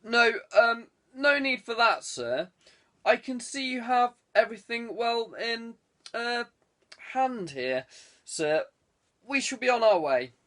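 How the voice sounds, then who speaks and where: A man's voice: very high-pitched, animated, slow pace, fairly bright tone, pitched above the performer's own voice, exaggerated voice. A man voicing a flustered, deferential police superintendent in an audiobook, hesitant and apologetic. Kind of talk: audiobook character dialogue.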